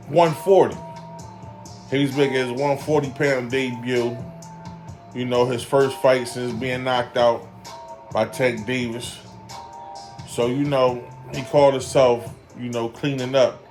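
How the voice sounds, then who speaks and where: A man in his forties delivers a loose, rambling boxing breakdown, his voice sitting at a middle pitch. He hammers the same points again and again, padding his lines with easy fillers. Faint music plays quietly behind him. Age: 40s. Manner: emphatic, repeats his points for effect. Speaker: boxing commentary vlogger. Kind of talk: casual boxing breakdown vlog.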